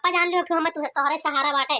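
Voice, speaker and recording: A woman's voice: high voice, steady tone, fast talker, sharp tone, a little hiss or hum. A woman voicing a resentful, complaining young college girl in an Awadhi cartoon comedy, with an Awadhi accent. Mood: sulky, indignant. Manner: complaining, scolding. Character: resentful, sharp-tongued young college-going younger daughter.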